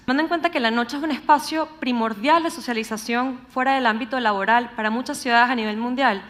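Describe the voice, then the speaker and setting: Female conference speaker, young adult, Caracas Venezuelan accent, higher-pitched voice, measured and explanatory delivery of a prepared talk. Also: a bit bright. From Venezuela, grew up in Caracas. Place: on stage at a live talk event.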